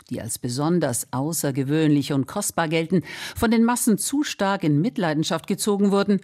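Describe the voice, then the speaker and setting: Woman, low-pitched voice, scripted radio feature narration, reporter. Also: darkish tone.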